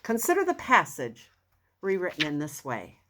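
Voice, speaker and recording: A woman reading a self-help book aloud as narrator, earnest and deliberate, with expressive emphasis and pauses. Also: deep voice, a little hiss or hum. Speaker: audiobook reader.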